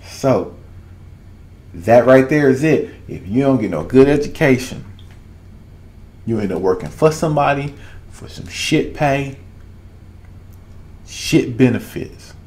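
A man's voice: medium pitch, animated, slow pace, pauses often, a little mellow. A man in his thirties from Arkansas with a Southern US accent, giving casual, emphatic commentary as a reaction video host and repeating phrases for weight. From Arkansas, United States. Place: small home room with cloth-draped backdrop. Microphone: desk condenser microphone, headphones on.

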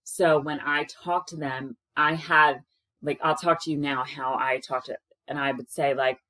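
A woman in her thirties, a caregiver, talking frankly to camera in a loud, projecting, conversational way, recorded on a webcam in a small room. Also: steady tone, unhurried, no room sound, low-quality recording. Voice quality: low-pitched voice that projects. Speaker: caregiver sharing experiences. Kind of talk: casual advice talk to camera. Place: small indoor room with plain wall.

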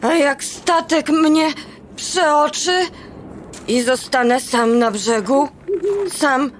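A woman's voice: high-pitched, steady tone, extremely slow, slight background noise. A woman voicing a small, homesick young male elf in a rhyming children's radio play, fretful and tearful. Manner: fretful, whiny rhyming complaints.

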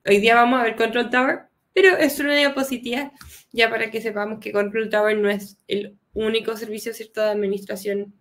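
A woman's voice: fairly high pitch, fairly even intonation, fairly quick, a bit bright. A young woman with a Chilean accent, presenting a tech lesson on a video call, casual and chatty, with a cold. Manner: casual, often adds "¿cierto?" as a tag. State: has a cold, coughs. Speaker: guest speaker. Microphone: webcam video call.

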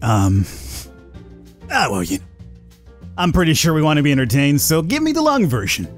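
Let's player, male, slightly high voice, animated, casual commentary. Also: normal speed, soft background music. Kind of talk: casual game commentary, reading text aloud.

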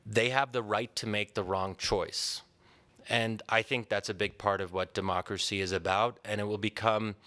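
Conference speaker: man, 30s, American, deepish voice, measured academic delivery, podium mic in a large hall. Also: a bit bright. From Pennsylvania, USA. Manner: measured, thoughtful, with frequent ums and restarts. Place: large hall with a seated audience. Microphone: podium microphone.

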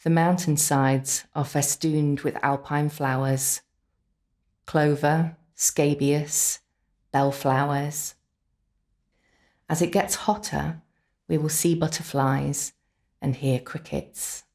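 A woman narrating a scripted guided visualisation in an unhurried, soothing way, with deliberate pauses. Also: deep voice, steady tone, a little mellow. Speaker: complementary therapist leading guided relaxation.

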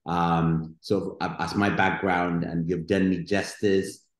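Deep-voiced man on a video call. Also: slow. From Ethiopia. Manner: energetic, gesturing with his hands. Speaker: guest entrepreneur and investor. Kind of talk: webinar panel answer. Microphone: video call webcam. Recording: roomy webcam call.

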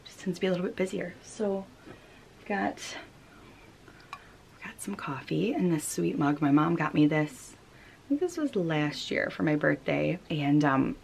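Casting: American woman, thirties, low register, casual chatty vlog host, homemade recording with slight background noise. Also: expressive, moderate pace, gravelly, long pauses. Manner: chatty, informal, giggly. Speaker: knitting podcast host. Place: small wood-panelled room at home.

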